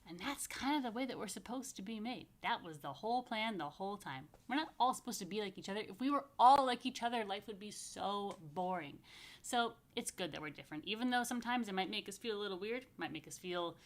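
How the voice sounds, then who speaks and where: A woman's voice: mid-range voice, expressive, fairly quick. A woman speaking as a rabbi to young families, informal and playful, in simple, chatty language meant for children. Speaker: rabbi leading a children's story time and blessings. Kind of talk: informal talk to young families, with story reading and blessings.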